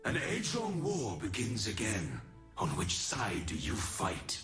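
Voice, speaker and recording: An actor playing a noble, tormented ancient warrior in a video game, speaking solemnly in measured, poetic phrases. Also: male voice, low voice, wildly swooping pitch, a bit bright, soft background music, exaggerated voice. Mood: solemn, resolute. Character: noble, tormented ancient male warrior resisting dark corruption. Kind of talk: scripted video game character lines.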